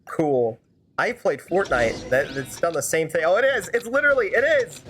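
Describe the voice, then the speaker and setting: A woman's voice: fairly low pitch, lively intonation, moderate pace, fairly bright tone. A woman streamer improvising fan-dub commentary over a game, sarcastic and rambling.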